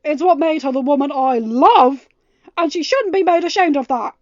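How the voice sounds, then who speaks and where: A woman's voice: high voice, lively intonation, moderate pace, no echo, lo-fi sound, pitched above the performer's own voice. A woman voicing a devoted young royal man, fiercely protective and emphatic, in a storybook reading. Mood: indignant, forceful. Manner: emphatic, stern, near a growl when angry.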